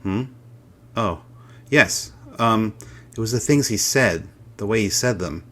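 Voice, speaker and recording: Game role: conflicted man with a hard past, lowish, forceful edge, measured and candid. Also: expressive, heavily acted. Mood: reflective, frank. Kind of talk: video game character dialogue.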